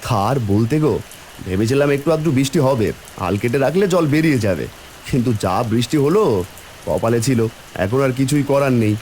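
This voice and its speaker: A man's voice: mid-range voice, lively intonation, a little fast, pauses often, a little mellow, a little hiss or hum, natural voice. A man playing a friendly, plain-spoken village farmer in a cartoon, companionable in manner. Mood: resigned. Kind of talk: Bengali cartoon voice acting.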